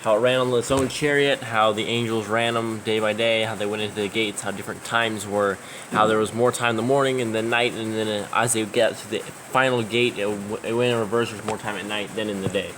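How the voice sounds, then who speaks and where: Bible study participant: lowish male voice, relaxed, faint outdoor noise. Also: a little fast, poor audio. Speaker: participant in family scripture reading. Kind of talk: casual group Bible study.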